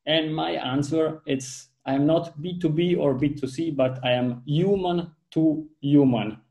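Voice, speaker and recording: Italian-accented Swiss man, 30s, earnest guest with a mellow, slightly high voice. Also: unhurried. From Ticino, southern Switzerland. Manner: earnest, with frequent restarts and fillers. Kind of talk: casual interview talk. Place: home office.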